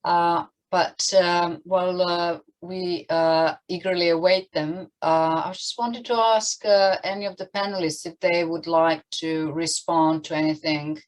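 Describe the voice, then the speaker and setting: A woman moderating an online question and answer session on a video call, speaking in a hesitant, halting way. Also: sits low, little pitch movement, low-quality recording. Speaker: panel moderator. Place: online webinar.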